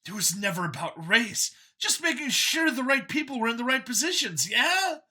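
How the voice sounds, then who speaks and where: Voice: mid-range voice, very animated, normal speed, sharp tone, husky, voice pushed up, full character voice. A narrator voicing a smug, bigoted android copy of a man in an audiobook, with an eager, boastful, emphatic and sneering delivery. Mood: earnest, proud.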